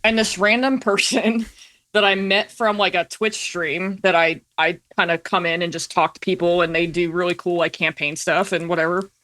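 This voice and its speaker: A woman's voice: lowish voice, steady tone, moderate pace, fairly bright tone. A woman talking casually and candidly as a podcast guest, telling informal stories and acting out bits of past conversations. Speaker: podcast guest, creative filmmaker.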